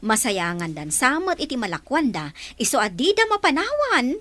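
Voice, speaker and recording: A talkative village woman in a radio drama. Her voice is fairly high and animated, with a Filipino accent, recorded dry. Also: moderate pace, no room sound, full character voice. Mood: chatty. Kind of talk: Philippine radio drama acting.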